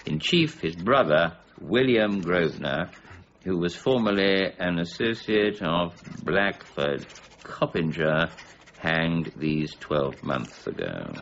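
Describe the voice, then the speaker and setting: An actor playing a learned, middle-aged official in a period radio drama, formal, precise and a little hesitant. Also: male voice, low voice, animated, slow pace, a bit bright, dull audio, a little hiss or hum, full character voice. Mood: businesslike.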